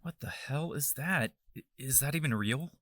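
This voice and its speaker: A man's voice: medium pitch, lively intonation, moderate pace, a bit bright. A man voicing a young hero who talks hesitantly and anxiously. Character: young male hero, worried and gentle.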